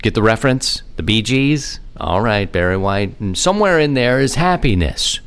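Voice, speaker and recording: A man hosting a podcast, talking casually and informally in a rambling, self-deprecating monologue with animated asides. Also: on the low side, moderate pace, smooth. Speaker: podcast host. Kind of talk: casual rambling hobby monologue on amateur radio.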